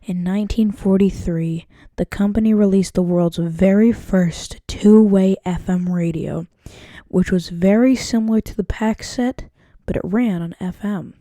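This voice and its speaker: A woman's voice: medium pitch, unhurried, dark tone, dry recording. A woman student presenter reading scripted documentary narration, occasionally stumbling over a word.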